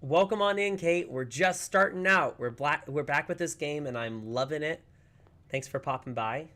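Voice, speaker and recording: A man streaming a game in casual, rambling chat, slightly hoarse as he recovers from a lost voice. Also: fairly high pitch, normal speed, bright, forceful tone. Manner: chatty, upbeat, rambling with many fillers. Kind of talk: casual livestream chat while playing.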